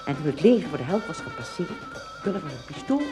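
A woman tells a story as the narrator of a radio play. Her voice sits a little low and moves with great expression. Soft music plays faintly behind her. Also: sing-song, quick pace.

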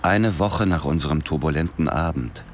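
A man's voice: rumbling low, normal speed. An actor playing a sober, reflective man who narrates his own search in a radio drama. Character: male first-person thriller narrator, sober searcher named Tommy.

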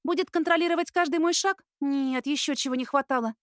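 A bubbly, stubborn young student acted by a woman narrator chatters excitably in a very high, girlish voice, pushed well up for an audiobook character. The recording is dry and close. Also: a little fast, no room sound, close to an everyday voice. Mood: stubborn, dismissive.

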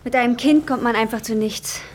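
A woman's voice: on the high side, fairly quick, lightly acted. An actress playing a guarded, worried young waitress and mother in a radio crime drama. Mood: guarded, brisk. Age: young adult.

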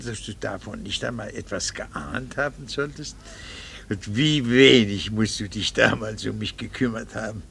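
An actor playing an embittered, coldly vengeful middle-aged husband in a radio play, sarcastic, theatrical and precisely articulated. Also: male voice, mid-range voice, creaky, sharp tone, slightly rough, heavily acted. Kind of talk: German radio play acting.

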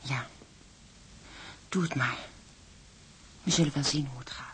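Slow, gentle, worried young wife. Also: female voice, extremely low pitch. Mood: tender resignation. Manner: soft, slow, hesitant. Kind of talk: radio drama dialogue.

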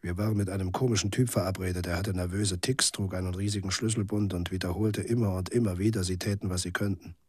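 A jaded journalist in a bleak near-future radio monologue. His voice is low and somewhat husky, and he tells the story in terse, cynical literary sentences. The sound is dry, with no room around him. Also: steady tone, quick pace, hardly any pauses, a little mellow, no room sound. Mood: weary, cynical. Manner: laconic, literary first-person storytelling. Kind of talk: scripted radio drama monologue.

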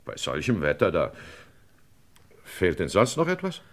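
Deep-voiced, flustered, obliging gentleman. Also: wildly swooping pitch, unhurried, full character voice. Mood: flustered, puzzled. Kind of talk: German radio crime play acting.

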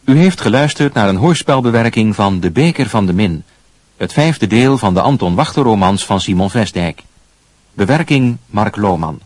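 A man's voice: medium pitch, breathy edge, no room sound. A man reading scripted radio drama credits as a formal closing announcer.

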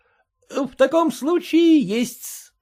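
A man's voice: very high voice, slow, dark tone, voice pushed up. A man voicing a young male shop clerk who is humble and obsequious, in a story reading. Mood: fawning. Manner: obsequious, adds polite -s to words. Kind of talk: audiobook character reading.

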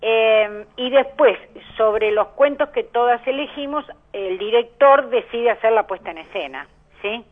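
A woman's voice: fairly high pitch, unhurried. A woman with an Argentine accent, speaking over the telephone as a storyteller being interviewed on the radio. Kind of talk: conversational phone interview. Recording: muffled telephone line on radio.

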